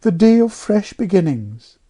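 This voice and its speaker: Storybook clergyman, played by a woman: male vicar, soulful, unctuous, flattering, animated delivery. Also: mid-range voice, unhurried, husky, pitched above the performer's own voice. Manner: unctuous, extravagant.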